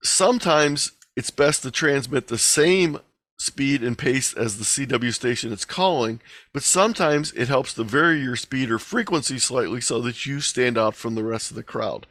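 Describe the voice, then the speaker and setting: A man's voice: mid-range voice, normal speed, fairly bright tone, dry recording. A man giving an explanatory online lecture over a video call, plain-spoken and instructional, going step by step. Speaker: instructor, presenter. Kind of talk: explanatory slide lecture, lightly extemporized. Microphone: video call microphone.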